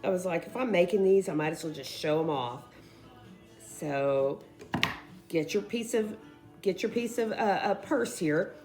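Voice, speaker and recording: A woman who is losing her voice, hoarsely chatting her way through a casual craft tutorial in a rambling, informal way. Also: fairly low pitch, animated, measured pace, darkish tone, faint music behind. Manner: rambling, informal, addresses viewers as guys. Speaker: craft video host.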